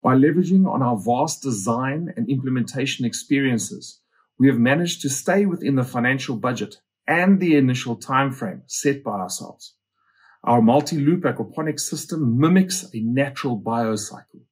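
Husky guy in his 40s doing a scripted promo talk about his business. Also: medium pitch, expressive, moderate pace, a little mellow. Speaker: company founder and farmer presenting his farm.

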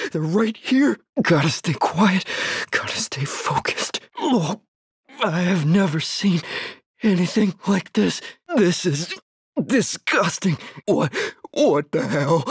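An actor playing a frightened but determined young male survivor in a horror video game, with breathy, urgent delivery. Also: sits high, no room sound, studio quality, exaggerated voice. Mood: fearful, disgusted. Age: young adult. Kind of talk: dramatic game voice lines.

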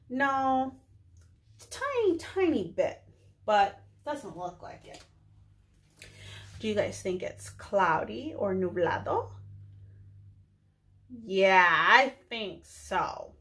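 A young woman preschool teacher addressing little children in a deliberate, clearly enunciated way, often posing questions. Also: mid-range voice. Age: young adult. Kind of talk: children's lesson, addressing kids, English with some Spanish words.

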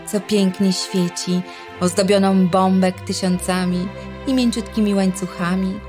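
A woman narrating a rhyming children's tale in a gentle, measured way. She speaks slowly in a lowish voice, with music playing under her words.

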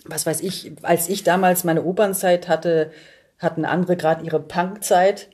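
Husky, low-voiced middle-aged woman. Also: fairly even intonation, measured pace, a little mellow. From Berlin, Germany. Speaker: podcast guest, performer. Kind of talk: casual chat.

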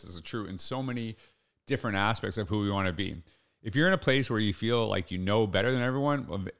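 A man's voice: on the low side, gravelly, a bit bright. A middle-aged man hosting a casual solo podcast, speaking conversationally and earnestly, repeating points for emphasis, close to a desk microphone in a home office. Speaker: podcast host. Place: small home office, indoors.

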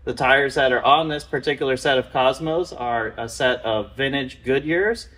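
A man in his thirties hosting a car restoration video, calmly and methodically explaining his work in a large indoor garage. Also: mid-range voice, normal speed. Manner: calm, methodical, matter-of-fact. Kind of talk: explanatory workshop walkthrough.

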